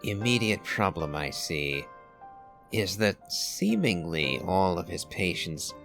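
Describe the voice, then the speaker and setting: A man giving reflective video game commentary in a deliberate, analytical, musing manner. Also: low voice, sing-song, creaky. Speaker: game streamer. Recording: game music behind the voice. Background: video game music.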